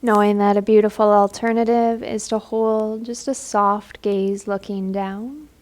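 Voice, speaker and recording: Slow, even-toned woman guiding meditation. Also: mid-range voice. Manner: gentle, soothing, unhurried. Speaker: guided meditation leader. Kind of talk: guided meditation.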